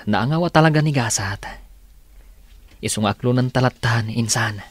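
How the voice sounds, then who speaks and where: An actor playing a supportive, cheerful young husband in a Filipino radio drama, speaking warmly and encouragingly. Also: male voice, mid-range voice, moderate pace, husky, no echo, lightly acted. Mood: reassuring. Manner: warm, reassuring, encouraging. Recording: dry studio, music underneath.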